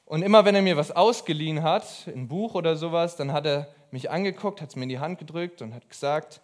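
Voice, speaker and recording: A young man preaching a sermon in an earnest, emphatic way, pausing between phrases. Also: fairly high pitch. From abroad.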